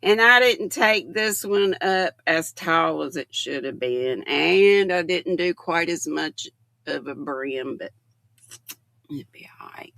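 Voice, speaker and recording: A woman's voice: deep voice, expressive, slow, pushed and bright, no room sound. An older woman, hosting a casual livestream and chatting with viewers. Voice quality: gravelly. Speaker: livestream host. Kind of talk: casual chat with live viewers.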